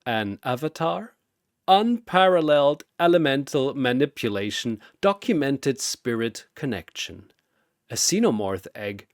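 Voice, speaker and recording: A man voicing a sinister mad scientist in a story, soft, clinical and coldly fascinated. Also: mid-range voice, very animated, a little slow, no echo, studio quality. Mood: clinical fascination.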